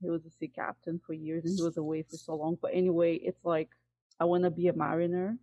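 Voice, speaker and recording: A woman's voice: on the low side, flat delivery, fast, dark tone, no echo. Woman over a tinny call line. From Brazil. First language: Portuguese. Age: 40s. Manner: hesitant, many fillers and restarts. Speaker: workshop participant. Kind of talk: personal sharing in group call. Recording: tinny phone-like call audio.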